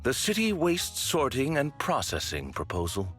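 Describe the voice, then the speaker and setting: A man's voice: medium pitch, huge pitch swings, normal speed, fairly bright tone, soft background music. A man voicing a formal, composed butler figure in a game cutscene, polite and precise. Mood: measured.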